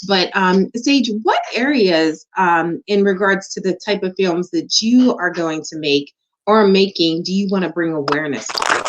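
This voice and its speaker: A woman's voice: fairly low pitch, animated, measured pace. A woman hosting an interview, affirming and encouraging her guest, her audio slightly muffled. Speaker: interview host. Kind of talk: casual interview.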